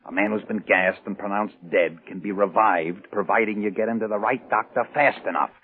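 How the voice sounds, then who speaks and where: A man's voice: lowish voice, fairly quick, breathy edge. A man playing a cool, reassuring criminal conspirator in a 1940s radio drama. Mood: calm, persuasive. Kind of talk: 1940s radio crime acting. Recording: muffled vintage 1940s radio recording.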